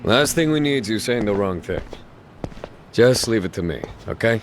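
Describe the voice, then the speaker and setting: An actor playing a wry, casual fixer and guide in a video game dub, with a glib, teasing way of talking. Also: male voice, fairly low pitch, animated, moderate pace, slight background noise, natural voice. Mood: breezy, wry. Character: breezy, wry male real-estate fixer and local guide.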